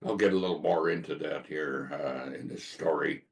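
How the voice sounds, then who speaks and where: Brief: hoarse male podcast host, very low gravelly voice, slow and halting, earnest testimony. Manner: halting, with frequent filler pauses. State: hoarse from a sore throat. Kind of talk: casual faith testimony monologue.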